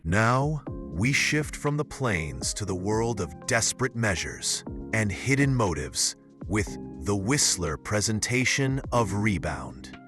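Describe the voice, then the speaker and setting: A man with a lowish voice introduces a story very slowly, over music. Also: big pitch swings. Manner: slow, dramatic introductions. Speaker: podcast host. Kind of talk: scripted narration.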